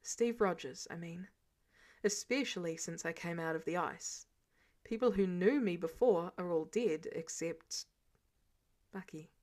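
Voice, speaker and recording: A woman voicing an earnest, weary male hero and team leader in a measured, subdued, sincere way for an audio story. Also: fairly low pitch, darkish tone, pitched below the performer's own voice, natural voice. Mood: earnest, wistful.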